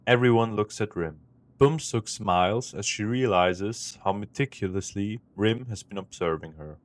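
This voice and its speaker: A man narrating scenes of an audio drama in a steady way. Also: fairly low pitch, moderate pace, lightly acted. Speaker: second narrator. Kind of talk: scripted narration.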